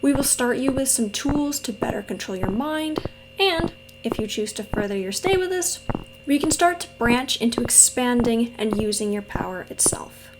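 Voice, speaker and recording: A woman playing a friendly, patient mentor in a roleplay audio drama, speaking to the listener in a gentle, reassuring, casually instructive way. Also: a little high, lively intonation, normal speed, background noise, natural voice. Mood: friendly, explaining. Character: friendly female mentor with powers, patient and encouraging trainer.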